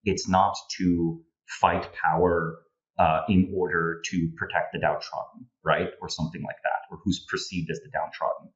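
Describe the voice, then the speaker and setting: A man's voice: deep voice, flat delivery, moderate pace. A man in his thirties with a Slovenian accent, explaining his analysis discursively in an interview over a video call. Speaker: guest political analyst. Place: home room with bookshelves, indoors. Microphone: earphone headset on video call. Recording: slightly dull video-call audio.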